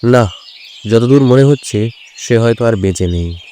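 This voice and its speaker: A man's voice: mid-range voice, measured pace, dark tone, a little hiss or hum, close to an everyday voice. A man playing a composed, probing detective in an audio drama.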